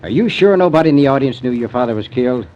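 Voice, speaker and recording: A man playing a gruff small-town sheriff. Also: mid-range voice, animated, fast talker, darkish tone, close to an everyday voice. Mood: suspicious. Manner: blunt, bullying questioning. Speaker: sheriff in a radio drama. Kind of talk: 1940s radio acting. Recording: dull old radio recording.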